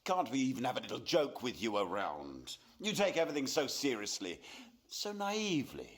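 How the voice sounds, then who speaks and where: A man's voice: medium pitch, wildly swooping pitch, moderate pace. A middle-aged man acting a genial, domineering painter and father in a radio play, with a theatrical, coaxing delivery. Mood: exasperated. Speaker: actor.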